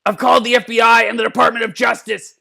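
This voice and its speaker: A man voicing a belligerent, drunken old mad scientist in a cartoon-style comedic reading, gravelly and stammering, repeating himself and cursing. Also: very high-pitched, flat delivery, quick pace, bright, forceful tone, exaggerated voice. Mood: defiant. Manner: stammering, repeating words, profane.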